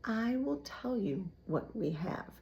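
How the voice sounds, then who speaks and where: A woman voicing a gloating storybook villain rat, with theatrical, savoring, drawn-out words. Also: a little high, sing-song, gravelly, a little mellow, a little hiss or hum, heavily acted. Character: gloating one-eared villain rat, falsely welcoming, sniffing.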